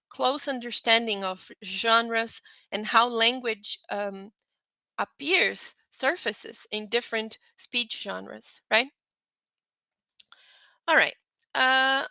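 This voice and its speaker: A woman in her thirties presents a lecture in a conversational way, her showing through. Her voice is bright with a gravelly edge, and the close recording is dry. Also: fairly high pitch, no room sound. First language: Portuguese. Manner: conversational, frequent fillers and "right?" checks. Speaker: teacher trainer.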